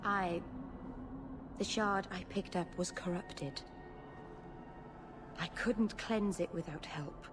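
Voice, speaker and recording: A woman's voice: on the low side, slow pace, long pauses, over music. A woman playing an earnest, stubborn young elf mage in a video game cutscene, speaking with open emotion. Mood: earnest, defensive. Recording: noisy game ambience behind.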